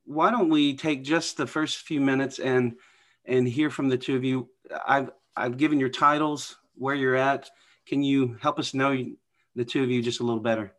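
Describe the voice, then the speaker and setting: A man's voice: mid-range voice, steady tone, normal speed. A man hosting an interview podcast, upbeat and affirming, introducing guests and asking questions. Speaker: podcast host.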